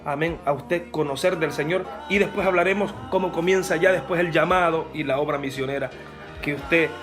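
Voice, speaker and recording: Host of a church interview show; formal, pious interjections, music plays under him. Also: male voice, on the high side, fairly quick.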